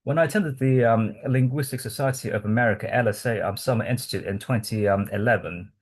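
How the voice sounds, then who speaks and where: A man from Hong Kong, a native Cantonese speaker, giving an academic presentation to a research group, halting with many ums and restarts. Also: medium pitch, slightly rough.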